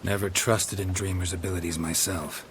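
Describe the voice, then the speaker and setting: An actor playing a gruff, weary, sardonic monster hunter in a video game, with a terse, deadpan delivery. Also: male voice, low voice, moderate pace, a little hiss or hum, close to an everyday voice. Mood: dry, matter-of-fact.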